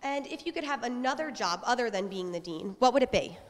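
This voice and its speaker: A woman's voice: a little high, animated, a little fast. A young adult woman interviewer asking prepared questions. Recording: audible ambient building noise.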